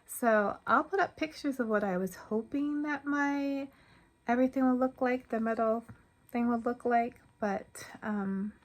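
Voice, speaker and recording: A woman's voice: a little high, a little slow. An American woman chatting casually to camera as a craft vlogger, informal and thinking aloud as she goes.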